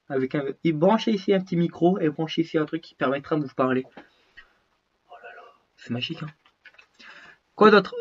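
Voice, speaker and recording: Stuffy-nosed guy rambling on a lo-fi mic. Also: on the high side. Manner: rambling, restarts, slangy. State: has a cold, stuffy and short of breath. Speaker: YouTuber showing his gear. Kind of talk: casual vlog chat to viewers.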